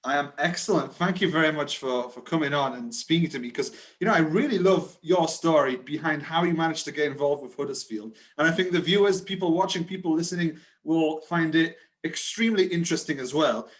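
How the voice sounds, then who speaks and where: A man's voice: a little high, brisk, hardly any pauses. A man hosting an interview, introducing and questioning his guest in an upbeat, enthusiastic way. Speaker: interview host.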